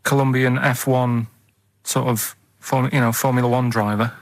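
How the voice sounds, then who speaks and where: A man chatting casually on a radio show, telling odd facts and stories in a halting, earnest, matter-of-fact way. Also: medium pitch, little pitch movement. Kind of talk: casual rambling radio chat and anecdotes.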